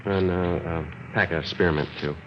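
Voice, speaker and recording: An actor in an old radio crime drama playing a terse, matter-of-fact police sergeant with clipped, understated delivery. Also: male voice, deep voice, steady tone, moderate pace, very noisy, lightly acted. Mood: casual, low-key. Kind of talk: 1950s radio drama acting and narration. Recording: dull old radio broadcast, music behind.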